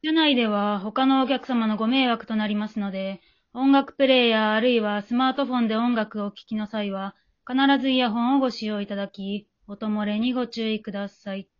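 A woman acting as a courteous night-bus driver giving formal announcements in a radio play. Her voice is fairly high and carefully measured, on a slightly dull home recording. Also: steady tone, natural voice. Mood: polite, formal.

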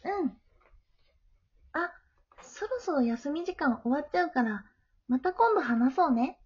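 A woman playing a gentle, studious middle-school girl in an amateur voice drama, friendly and polite. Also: high voice, expressive, measured pace, highs cut off. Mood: friendly, kind.

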